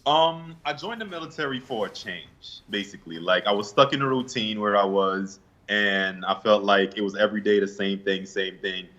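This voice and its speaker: A young man from Guyana answering interview questions in a relaxed, laid-back way with hesitant fillers. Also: fairly low pitch, moderate pace, few pauses, highs cut off. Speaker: interview guest, bodybuilder.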